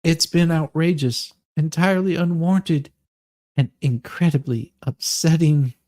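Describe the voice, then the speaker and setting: A man's voice: fairly high pitch, unhurried, dark tone, no room sound, clean studio sound, natural voice. A man voicing an aggrieved thirty-something woman in a theatrical, overwrought dramatic reading of her self-pitying post. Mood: wounded, indignant.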